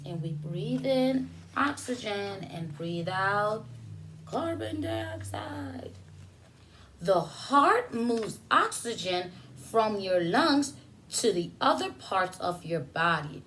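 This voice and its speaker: A woman preschool teacher reading a picture book aloud to young children, pausing for simple explanations and questions addressed to them. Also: mid-range voice, expressive, fairly bright tone, a little hiss or hum, rough recording.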